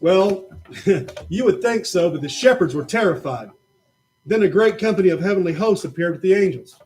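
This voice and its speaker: Man, high voice, patient children's Bible storyteller opposite a puppet. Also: animated, normal speed, a little hiss or hum. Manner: patient, gently explaining. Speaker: puppet show presenter. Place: church.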